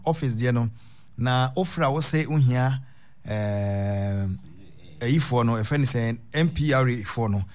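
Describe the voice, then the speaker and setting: Ghanaian man hosting a radio talk show. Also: mid-range voice. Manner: unhurried, drawn-out reading of phone numbers. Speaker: radio host.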